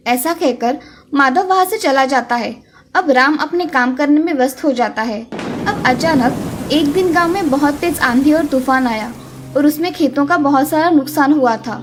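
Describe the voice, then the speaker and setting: A woman's voice: sits high, normal speed. A woman reading a scripted children's story as its narrator. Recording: music under the voice.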